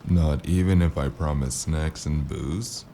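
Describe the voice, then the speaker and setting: A weary noir investigator in a cyberpunk audio drama. The voice is extremely low and gravelly, with a dark, mellow tone. Lines come dry and sardonic, clipped into short phrases, over faint noise. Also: male voice, fairly even intonation, a little slow. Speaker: lead actor and narrator.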